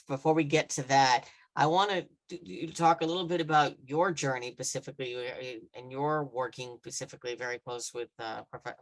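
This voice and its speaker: Male podcast host, halting questions, dry sound, video call. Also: mid-range voice, fairly bright tone, dry recording, rough recording. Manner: halting, stumbling over questions. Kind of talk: live video podcast interview. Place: indoors with virtual background.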